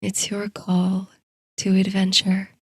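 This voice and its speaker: A woman narrating a scripted bedtime story and guided meditation in a soothing, hushed, breathy way. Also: mid-range voice, completely flat delivery, takes it slowly, dry recording, clean studio sound. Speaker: host and narrator of a sleep story series.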